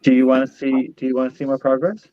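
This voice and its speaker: A woman's voice: extremely low pitch, level tone, fast talker, narrow, tinny audio, slight background noise. A woman making short, easygoing remarks in a casual chat over a video call.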